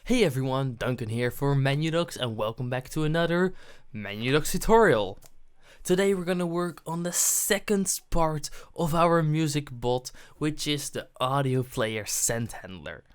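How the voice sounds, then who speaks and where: A man's voice: a little high, lively intonation, normal speed, clean tone. A man presenting a programming tutorial, narrating his steps on screen as he goes.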